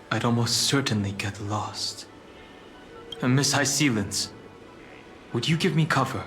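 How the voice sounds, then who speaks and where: An actor playing a steadfast young male hero in a fantasy game, speaking in a measured, earnest, declamatory way. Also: on the low side, close to an everyday voice. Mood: resolute. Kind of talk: dramatic scripted game voice acting. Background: loud music.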